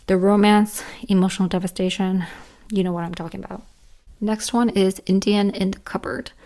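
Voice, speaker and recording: Creaky, hoarse woman chatting casually. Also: mid-range voice, fairly even intonation, normal speed, mellow. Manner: earnest, emphatic, repeats words. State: hoarse, tired voice. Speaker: book reviewer, channel host. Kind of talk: casual list-style book and manga chat.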